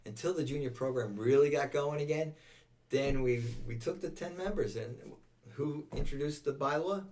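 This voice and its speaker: A man's voice: on the high side, normal speed, darkish tone, far from the mic. An older man with an American accent chatting casually as an interview guest, often repeating and reworking his phrases. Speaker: interview guest, veteran volunteer firefighter. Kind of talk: casual reminiscing chat.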